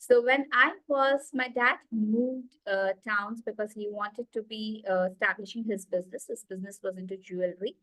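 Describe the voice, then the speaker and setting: A woman's voice: mid-range voice, steady tone, normal speed, few pauses, fairly bright tone, no room sound, low-quality recording. A woman in her thirties with an Indian accent, a guest telling her life story eagerly over a video call, with many fillers. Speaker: guest, executive coach. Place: small room indoors.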